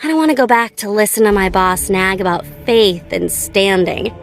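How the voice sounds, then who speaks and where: A woman's voice: mid-range voice, normal speed, creaky, natural voice. An American actress voicing a playful, nonchalant young female gun-tech tinkerer in a video game, with a light, girlish, teasing delivery. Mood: matter-of-fact. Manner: teasing, breezy, casual. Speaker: voice actor for a video game character. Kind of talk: scripted game character voice lines. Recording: studio voice over background game music. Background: background music.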